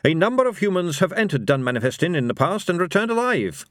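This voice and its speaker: Storybook role: man plays earnest, literal-minded straight arrow, courteous and exact, pitched high. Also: big pitch swings, fast talker, no room sound, studio quality. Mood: sincere.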